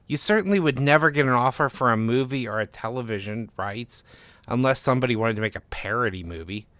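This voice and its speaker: A man's voice: medium pitch, fairly quick, fairly bright tone, dry recording, close to an everyday voice. A man acts out an exasperated male literary agent in a storybook reading. He is blunt, pragmatic and sarcastic, pushing his skeptical points with emphasis. The sound is dry and close. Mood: exasperated, dismissive. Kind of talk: dramatised short story reading.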